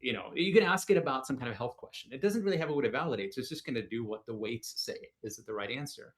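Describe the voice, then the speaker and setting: A man who grew up in Norway, a guest on a tech podcast, explaining things in a relaxed way with many fillers. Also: mid-range voice, very fast. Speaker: guest engineer. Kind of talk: casual tech podcast chat.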